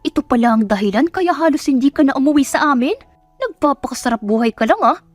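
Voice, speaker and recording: A woman voicing a cruel middle-aged provincial mother in an audio drama, shouting scornful, scolding questions at her daughter. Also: high voice, expressive, quick pace, dry recording, soft background music, voice pushed up. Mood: furious. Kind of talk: Tagalog audio drama acting.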